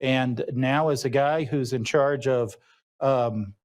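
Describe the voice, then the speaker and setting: A man who is a county commissioner, moderating a public meeting in a folksy, halting way with many fillers. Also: mid-range voice.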